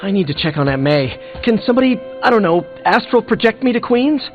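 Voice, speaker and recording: A man's voice: high-pitched, very animated, a little fast, highs cut off, soft background music. A man voicing a youthful, anxious, eager superhero in a video game, casual and earnest.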